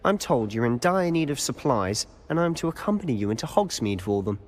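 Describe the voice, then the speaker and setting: Rich: A charming young wizard student, as a man plays him. His lively, bouncing delivery stays polished and playful. A long echoing reverb trails his voice. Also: medium pitch, brisk, a little hiss or hum, lightly acted.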